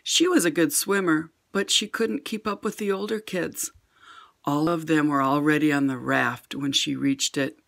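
A woman's voice: low-pitched, normal speed, vocal fry. Older woman reading a picture book aloud. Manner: gentle expressive storybook reading. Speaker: storyteller.

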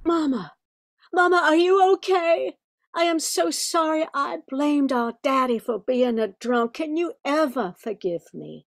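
A woman's voice: sits high, measured pace, gravelly, no room sound, studio quality. An older woman playing an earnest amateur-sleuth daughter in an amateur radio play. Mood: remorseful, tender. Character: earnest daughter sleuthing out her father's killer.

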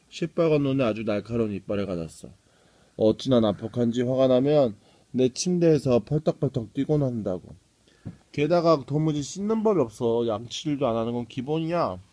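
A man's voice: medium pitch, dark tone, natural voice. A man voicing a small, frail storybook girl who insistently tells monster tales.

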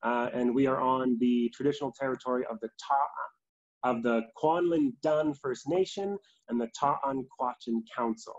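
A young Canadian man in his twenties talks casually over a video call. The pitch is average for a man and the sound is a bit dull. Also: unhurried. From Calgary, Canada. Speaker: theatre artistic director and guest. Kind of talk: casual chat. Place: small room indoors. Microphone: laptop on video call.

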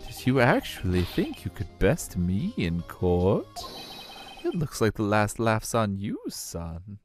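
A man voicing an elderly, gruff, taunting police chief villain in a video game, with a theatrical, mocking manner. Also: on the low side, huge pitch swings, slow pace, faint music behind, exaggerated voice. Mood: smug taunting. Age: older.

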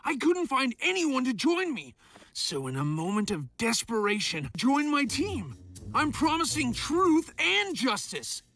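A man voicing an excitable, bossy teen boy hero who leads his team, with a shrill, indignant and commanding manner in a cartoon. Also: unhurried, soft background music, heavily acted. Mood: earnest. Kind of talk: cartoon voice acting.

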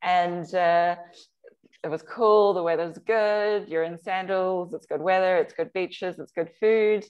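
A woman, a surgeon giving an informal slide talk over a video call in conversational, halting phrases. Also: lowish voice, fairly even intonation, darkish tone, clear, resonant tone, highs cut off. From Samoa. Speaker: surgeon, guest speaker. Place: video call, remote webinar.

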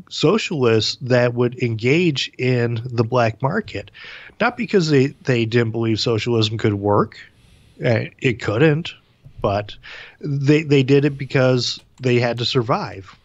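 A man's voice: mid-range voice, dry recording, muffled recording. A man co-hosting a political talk show over a video call, wry and joking, stumbling over repeated words. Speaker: co-host.

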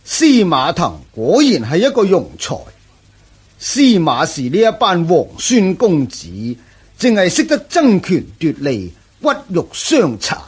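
An actor playing a proud, scornful Xiongnu chieftain in a Cantonese historical radio drama, declaiming grandly with a Hong Kong accent. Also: male voice, sits high, wildly swooping pitch, unhurried, husky, exaggerated voice. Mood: satisfied, contemptuous.

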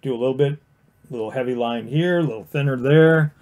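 A man with a mellow, warm voice talks through a watercolor demonstration in a casual livestream. He rambles freely, full of fillers and restarts, and explains each brushstroke plainly as he paints. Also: mid-range voice, brisk. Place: indoor studio with overhead camera on the painting desk.

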